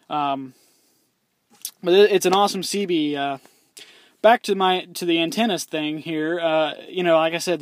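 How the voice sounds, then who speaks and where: Guy in his parked truck rambling about his cb, swears a lot, lots of ums, kinda high voice, dry close sound. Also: no echo. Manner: rambling, loose, swears casually, many ums and restarts. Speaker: vlogger reviewing his CB radio. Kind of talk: casual rambling vlog. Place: inside his parked SUV.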